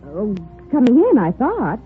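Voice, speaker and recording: A woman's voice: on the high side, wildly swooping pitch, measured pace. A woman playing a polite, proper American hotel desk clerk in old-time radio drama acting, formal and precise. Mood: puzzled. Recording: tinny vintage radio recording, music behind.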